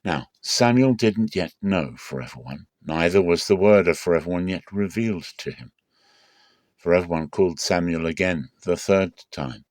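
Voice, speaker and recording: A man's voice: sits low, animated, normal speed, darkish tone, no echo. A man reading the Bible aloud as a dramatic scripted narration. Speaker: Bible reader.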